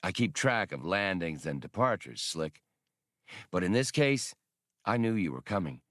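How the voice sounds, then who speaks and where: Man voicing a gravelly, deep old trooper. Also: sing-song, a little slow, a bit bright, no room sound, studio quality, exaggerated voice. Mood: sly, pleased. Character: big old trooper, sly, folksy, hearty old friend. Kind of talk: audiobook character dialogue.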